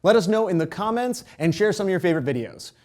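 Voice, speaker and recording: A man's voice: high voice, expressive, fast talker, darkish tone. A young Canadian man from Toronto hosting a music video series, casually answering viewer comments with jokey, sarcastic asides.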